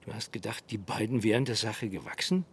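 An actor playing a middle-aged, world-weary small-town sheriff in a radio drama, laconic and measured. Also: male voice, lowish voice, slightly rough. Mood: wry, weary. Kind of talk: naturalistic radio drama acting.

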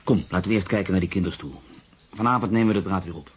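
An actor playing a reflective, skeptical journalist in a radio play, telling his own story in a measured, literary manner. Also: deep voice, a little hiss or hum, natural voice. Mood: earnest. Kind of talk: radio play, first-person narration and dialogue.

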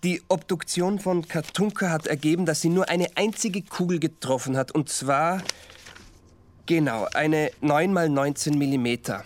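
An actor playing a forensic officer in a radio drama, reporting in a matter-of-fact way with small hesitations. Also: male voice, fairly high pitch, moderate pace, a little hiss or hum, lightly acted. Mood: factual.

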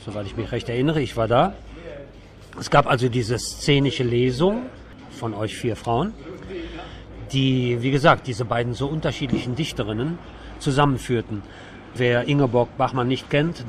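A man hosting a conversational radio interview. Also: mid-range voice. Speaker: radio host.

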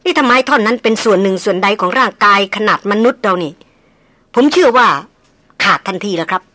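A composed male jungle hunter, as a woman narrator puts him on, speaking flatly and without hurry. The audiobook voice is bright and crisp, recorded close and dry. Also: medium pitch, no echo. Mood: calm. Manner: flat, unhurried, matter-of-fact. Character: calm, steady male jungle hunter guide.

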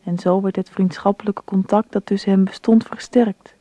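An actress playing a daughter who narrates her father's story in a radio drama, measured and reflective. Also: mid-range voice, steady tone, mellow, no echo, natural voice. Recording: dry, dull lo-fi recording.

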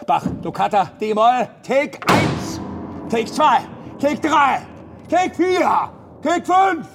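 An actor playing a manic announcer in a radio drama, insistently chanting and counting recording takes. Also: male voice, very high-pitched, very animated, drawn-out words, vocal fry, noisy background, full character voice. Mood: insistent, mounting. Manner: frantic, chanting, insistent, shouting at times.

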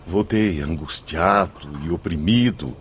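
An actor playing an anguished, earnest spirit of a repentant gambler in a radio drama. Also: male voice, sits low, measured pace, slight background noise. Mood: anguished confession.

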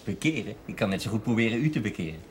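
A man's voice: on the low side, lively intonation, very fast, noisy background, close to an everyday voice. A man playing a courteous, questioning dinner guest in a television drama, polite in manner. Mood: amused. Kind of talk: 1970s television drama acting.

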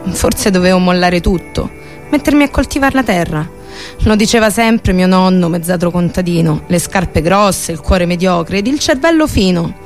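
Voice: mid-range voice, expressive, normal speed. A performer reading a scripted, dramatic literary monologue for radio. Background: music.

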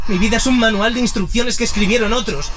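A man's voice: very high-pitched, fast, fairly bright tone. A man playing an insecure, put-upon young musician in a radio drama, plaintive and melodramatic. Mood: anguished, bitter. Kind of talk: serial radio drama acting. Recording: music clearly under the voice.

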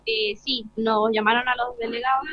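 A woman's voice: a little high, fairly even intonation, moderate pace, no room sound. A young woman speaking as a student in an interview, giving short informal answers. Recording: slightly dull lo-fi live broadcast.

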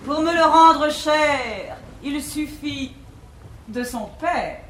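A woman's voice: sits high, extremely slow, fairly bright tone, exaggerated voice. An actress playing an imperious, affected learned lady of the house in a classical French stage comedy, declaiming verse grandly. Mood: eager, gracious. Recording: echoey theatre stage, noisy 1950s recording.

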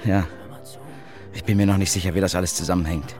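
An actor playing a rational, wary male investigator in a radio drama, measured and matter-of-fact. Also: sits low, steady tone, a little mellow, reverberant, in a large hall, faint music behind, lightly acted. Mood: thoughtful. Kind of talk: German radio drama acting.

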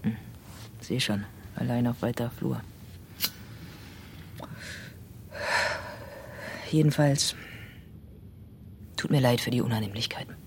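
A tough female detective in a radio drama speaks in a notably low voice. Her delivery is dry, needling and insistent. There is clear noise around her. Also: moderate pace, a little mellow. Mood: defensive, weary. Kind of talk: naturalistic German radio drama acting.

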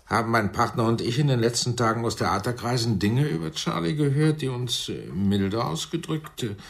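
An actor playing a shrewd, smooth American showbusiness man in a German radio play, deliberate and hesitant, with an air of regretful concern. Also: medium pitch, lightly acted. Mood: feigned regret. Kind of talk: German radio drama acting.

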